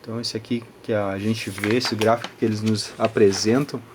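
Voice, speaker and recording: A man with a Brazilian Portuguese accent gives an informal, halting technical explanation, often adding short check-in tags as he teaches. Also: lowish voice, level tone. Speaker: audio channel host and reviewer. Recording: clear background noise, room ambience.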